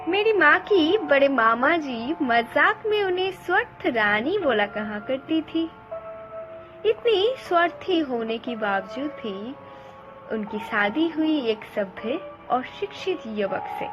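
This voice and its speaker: A woman's voice: high voice, expressive, takes it slowly, highs cut off. A woman storyteller narrating a family ghost story in a dramatic style. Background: music.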